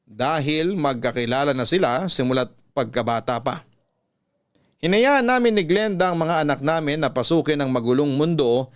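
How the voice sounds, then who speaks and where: A man narrating a listener's letter as a radio storyteller, measured with dramatic pauses. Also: fairly high pitch.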